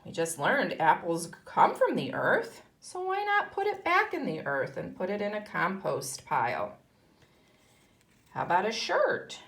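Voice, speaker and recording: A woman's voice: medium pitch, wildly swooping pitch, a little slow, gravelly, fairly bright tone. A woman with an American accent teaching young children, instructive, posing questions and answering them herself. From United States. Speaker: kindergarten teacher. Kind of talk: read-aloud lesson for young children.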